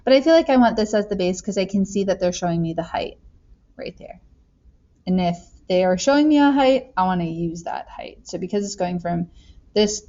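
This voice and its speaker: A woman's voice: lowish voice, darkish tone, muffled recording. A woman teaching math, talking through worked examples in a casual, chatty way and thinking aloud with joking asides.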